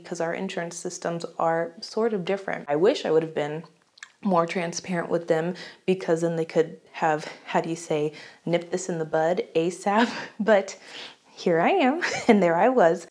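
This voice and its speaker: A young woman from the United States chats to her camera in a home room, her voice sitting a little lower than most women's. She sounds slightly congested from a cold, and her casual storytelling is animated and full of laughs, picked up close on a lapel mic. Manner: chatty, animated, laughs often, gestures with her hands. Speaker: YouTuber. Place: small home room indoors.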